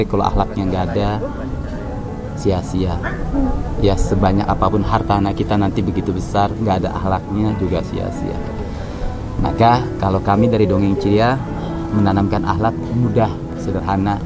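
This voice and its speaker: A man's voice: fairly low pitch, little pitch movement, normal speed, loud music behind the voice. A man speaking as a storyteller and guest, giving earnest, preacherly advice in short, repeated phrases. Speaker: storyteller and children's charity founder, interview guest.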